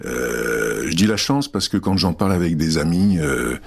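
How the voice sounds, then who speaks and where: Low husky middle-aged guy, czech native, lots of pauses and hesitating, interview guest. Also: animated. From France. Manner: halting, many pauses and hesitations. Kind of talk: reflective interview answers.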